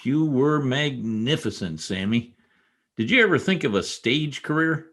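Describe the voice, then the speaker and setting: A man's voice: medium pitch, animated, a little slow, fairly bright tone. A man playing a wry, easygoing newspaper reporter in a radio comedy, with a joking delivery. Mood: amused. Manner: wry, joking, hesitant asides. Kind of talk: old-time radio comedy acting. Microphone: video call.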